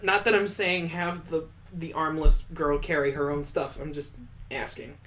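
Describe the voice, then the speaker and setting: A man's voice: fairly high pitch, level tone, unhurried, pushed and bright. A man reading a visual novel aloud as host of a gaming video, breaking off into wry, jokey asides. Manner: wry, jokey, wanders off into side comments.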